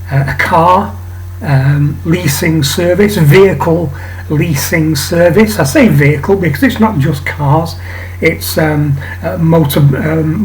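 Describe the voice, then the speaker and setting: Man, raspy voice, slightly higher pitch, hesitant, lots of ums, home vlog. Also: a little mellow, rough recording. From England. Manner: rambling, hesitant, many ums and restarts. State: raspy voice, coughing. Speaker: channel host. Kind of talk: casual monologue to camera. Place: home flat.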